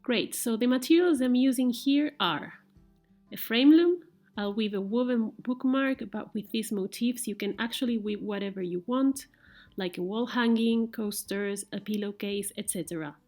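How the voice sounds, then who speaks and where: A woman with a Spanish accent and a mellow, warm voice talks through a craft tutorial gently and haltingly. She pauses often on small fillers. The sound is dry and close, with soft music under it. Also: fairly high pitch, dry recording. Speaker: weaving tutorial host. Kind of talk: casual personal talk and craft tutorial.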